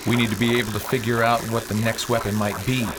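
A serious starship crewman acted by a man in radio theater. He questions things earnestly in a mid-pitched voice. Noise like an engine is clearly heard behind. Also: little pitch movement, fast, lightly acted. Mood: concerned. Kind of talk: space opera radio drama acting.

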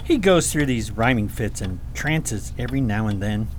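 A polite young prince acted by a man in a radio fairy tale. He sounds eager and curious, with very lively intonation over faint noise. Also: lowish voice, moderate pace, natural voice. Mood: curious, courteous.

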